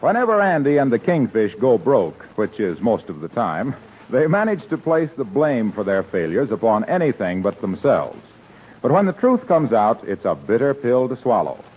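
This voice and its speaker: A male radio announcer reading an ad and introducing a comedy show, with a cheerful sales-pitch delivery. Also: big pitch swings, normal speed, soft background music. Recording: tinny old radio broadcast with faint hiss.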